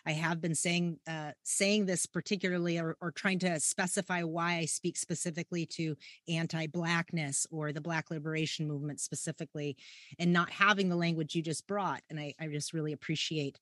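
A woman hosting an interview, effusive and affirming, asking her guest to go deeper. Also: steady tone, fairly quick, a bit bright, no echo, studio quality. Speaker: podcast host.

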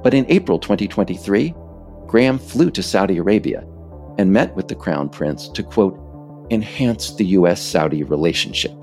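A deep-voiced American man narrates a scripted political book. His delivery is measured and emphatic, with lively swings in pitch and a gravelly creak at the edges. Music plays behind him. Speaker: author and narrator reading own book.

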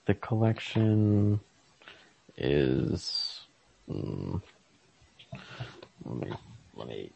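Super deep creaky guy chatting books on a podcast, voice moves around a lot, halting. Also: big pitch swings, darkish tone. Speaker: podcast co-host. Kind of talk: casual literary discussion. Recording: lo-fi podcast audio.

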